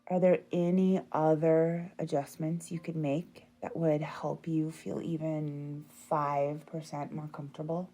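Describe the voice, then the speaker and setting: A woman leading a guided relaxation meditation in a soothing, gentle, unhurried manner. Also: deep voice. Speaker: yoga nidra meditation guide.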